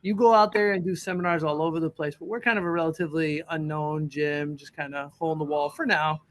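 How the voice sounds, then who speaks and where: A man's voice: on the high side, fairly even intonation, fast talker, a bit bright. A man co-hosting a video call interview, upbeat and easygoing, encouraging the guest. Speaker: gym owner and co-host.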